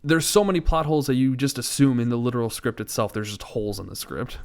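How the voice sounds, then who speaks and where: A man with a mid-pitched voice talks as a podcast co-host. His delivery is casual and rambling, as he works out his opinions while he speaks. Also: fast. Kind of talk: casual chat, film discussion.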